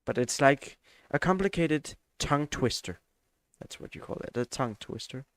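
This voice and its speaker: A man's voice: mid-range voice, lively intonation, moderate pace, dry recording, studio quality. A man from Denmark, chatting hesitantly with many fillers while streaming a flight simulator. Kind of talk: live stream gameplay chat.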